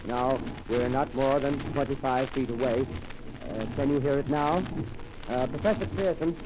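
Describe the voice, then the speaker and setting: An actor playing a radio commentator at a crash site in a 1930s radio drama, describing the scene live in an eager, halting way with many fillers, heard in a noisy old broadcast recording. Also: male voice, medium pitch, close to an everyday voice. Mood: eager, breathless. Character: excited male radio field reporter at a crash site.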